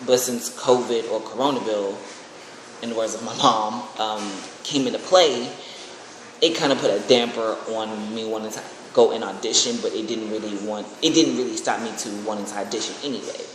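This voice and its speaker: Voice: medium pitch, a little fast. Young adult vlogger with a mid-range voice, casual and rambling, recorded in a very reverberant, noisy room. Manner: rambling, excitable. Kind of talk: casual chat to camera. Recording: echoey room with clear background noise.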